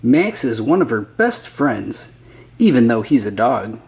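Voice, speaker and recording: A man's voice: medium pitch, big pitch swings, unhurried, darkish tone. A man reading a children's picture book aloud as a scripted narrator.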